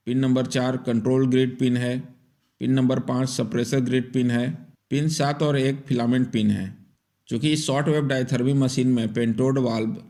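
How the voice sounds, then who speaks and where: A man's voice: mid-range voice, creaky, dark tone. A man presenting a repair tutorial in scripted, methodical instructional narration. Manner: methodical, step-by-step explaining.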